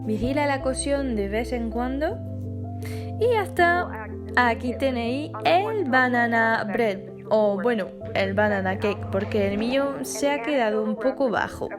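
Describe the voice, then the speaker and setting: A woman with a French accent narrating recipe steps as a voice-over, giving steady step-by-step instructions. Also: fairly high pitch, measured pace. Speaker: baking vlogger. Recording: voice-over with music behind.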